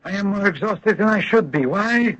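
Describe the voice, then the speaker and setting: A man playing a weary, demanding psychic doctor in an old radio drama, with a formal, deliberate delivery. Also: high-pitched, animated, fairly bright tone, dry recording. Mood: weary, insistent. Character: weary, demanding male psychic doctor, touchy and self-important. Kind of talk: 1980s radio drama acting. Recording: dull, noisy old radio broadcast.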